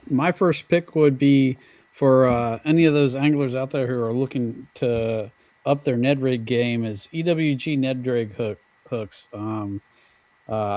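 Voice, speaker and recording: An American man talks casually as a guest on a podcast. His voice has an ordinary middle pitch for a man, and he comes from Pennsylvania. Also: darkish tone. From United States, Pennsylvania. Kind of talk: casual chat.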